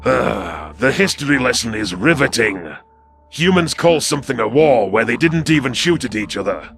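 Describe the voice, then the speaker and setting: A man's voice: mid-range voice, big pitch swings, moderate pace, creaky, fairly bright tone, faint music behind, voice pushed up. A man voicing an irritated, disdainful alien officer with dry sarcasm in a science fiction audio story. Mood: disdainful irritation.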